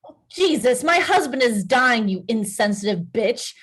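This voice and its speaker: A woman playing a blunt, exasperated, jealous wife with a husky voice in a screenplay table read. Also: on the high side, expressive, moderate pace, poor audio. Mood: panicked fury. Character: sharp, hurt, jealous wife, furious in crisis.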